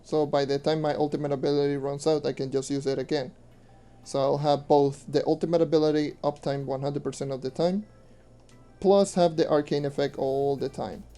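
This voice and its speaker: A young man streaming a game and answering questions in loose, rambling casual talk, with a hoarse voice he says he is losing. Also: on the high side, fairly even intonation, brisk, dark tone. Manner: rambling, loose with fillers, mutters to himself. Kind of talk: casual gameplay commentary and Q&A. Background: faint music.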